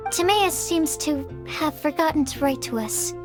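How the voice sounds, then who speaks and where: A composed young woman from a video game, thoughtful and articulate. Her voice is very high, and she words things politely and in order. There is faint music behind her. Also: animated. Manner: polite, orderly, measured wording.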